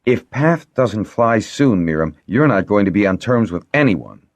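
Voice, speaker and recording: A friendly but firm young woman, played by a male reader, brisk and quietly teasing. The voice sits raised above his narration, with lively melody. It is storybook dialogue in a dry recording. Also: fairly low pitch, no room sound, voice pushed up. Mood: sharp. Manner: firm, wry, matter-of-fact.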